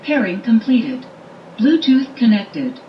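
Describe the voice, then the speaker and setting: A woman's voice: a little high, sing-song, slow pace, slight background noise. A woman's automated voice giving short scripted status prompts from a device. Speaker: automated voice prompt of a car radio. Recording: dull lo-fi speaker playback under loud music.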